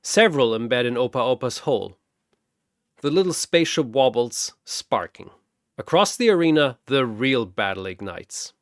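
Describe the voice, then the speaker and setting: A man's voice: medium pitch, animated, normal speed, dry recording. Man narrating a dramatic battle story, dry sound. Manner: theatrical, punchy.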